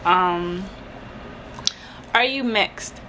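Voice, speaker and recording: A woman's voice: fairly low pitch, drawn-out words. A young woman in her twenties, answering viewer questions in a casual, hesitant way with lots of ums, filmed at home in a bedroom on a close camera mic. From Jamaica. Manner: chatty, hesitant with many ums, light laughs. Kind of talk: casual Q&A chat. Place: indoors, bedroom in front of a headboard. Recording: steady background hiss.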